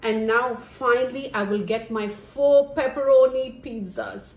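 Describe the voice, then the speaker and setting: A woman's voice: on the high side, measured pace, lightly acted. A woman playing a greedy, scheming middle-aged stepmother in an amateur comedy, theatrical and complaining. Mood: smug, scheming. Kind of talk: amateur comedy stage-style acting. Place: indoor home living room. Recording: echoey room with faint noise.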